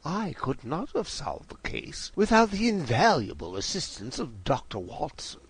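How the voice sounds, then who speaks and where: Theatrical Victorian detective, wildly swooping. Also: male voice, a little high, wildly swooping pitch, moderate pace, husky, full character voice. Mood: jovial, teasing. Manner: drawling, grandly formal, swooping delivery. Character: theatrical, cheerfully condescending male Victorian detective. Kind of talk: audio drama acting.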